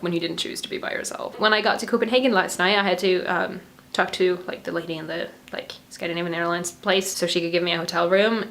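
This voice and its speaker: A woman's voice: mid-range voice, a little hiss or hum. A young woman from Norway chatting casually to a handheld camera, telling a story with frequent giggles. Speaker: vlogger. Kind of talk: casual vlog chat to camera. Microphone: handheld camera at arm's length.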